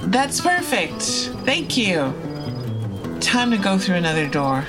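Lively, earnest young woman traveler. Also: mid-range voice, slow pace, music in the background. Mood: grateful. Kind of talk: audio drama dialogue.

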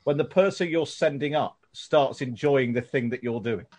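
A man's voice: medium pitch, normal speed, darkish tone, faint music behind. A man hosting a casual interview, asking questions enthusiastically and laughing easily.

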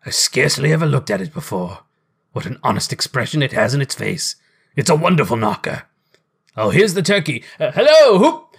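A man's voice: medium pitch, big pitch swings, fairly quick, vocal fry, breathy edge, heavily acted. A man voicing a reformed old miser in a storybook, gleeful, kindly, effusive and courteous. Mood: giddy delight. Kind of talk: dramatized storybook reading.

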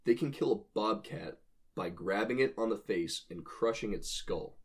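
A man's voice: lowish voice, normal speed, slightly rough. A man co-hosting a casual speculative discussion, explaining his ideas with enthusiasm as he reasons aloud. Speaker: podcast co-host.

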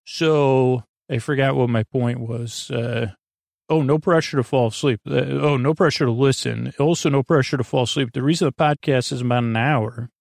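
A man with a creaky voice hosting a bedtime podcast in a meandering, halting, drawn-out monologue that keeps wandering off on tangents. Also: medium pitch, darkish tone, no room sound, studio quality. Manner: hesitant, halting, drawn-out, digressive. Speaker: podcast host.

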